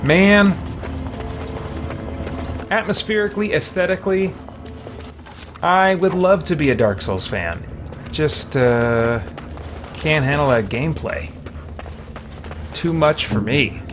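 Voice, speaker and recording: Male game streamer, slightly higher pitch, casual thinking-aloud commentary, game music underneath. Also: expressive, takes it slowly, pauses often. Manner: musing, rambling, thinking aloud. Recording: music playing behind the voice.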